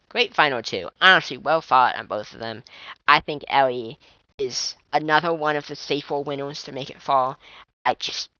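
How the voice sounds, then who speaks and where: A young man with a high, strained and tired voice, rambling casually as a fan commentator with restarts and swearing. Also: sharp tone, no room sound. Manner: chatty, swearing, trailing restarts. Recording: dull lo-fi dry mic.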